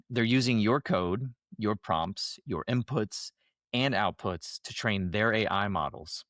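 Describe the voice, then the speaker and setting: A man hosting a podcast, delivering a scripted monologue while sick and losing his voice, measured and emphatic. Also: fairly low pitch, no echo, studio quality. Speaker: podcast host.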